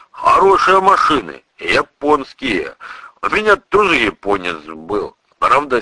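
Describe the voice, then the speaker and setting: A man voicing a burly bearded priest with a criminal past, folksy, gruff and wry, in an audiobook story. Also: medium pitch, pushed and bright, no echo, full character voice, rough recording. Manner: colloquial, slangy, teasing.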